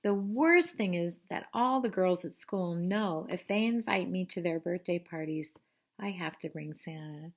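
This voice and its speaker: Slow-paced woman reading a children's story. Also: on the low side. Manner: expressive, asks listeners questions. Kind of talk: children's read-aloud narration with asides.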